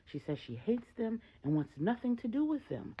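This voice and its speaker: A woman's voice: fairly high pitch, sing-song, normal speed, highs cut off, a little hiss or hum, pitched above the performer's own voice. A woman voicing a distraught older mother in a told story, emphatic, pleading and emotional as she confesses. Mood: shocked, confused.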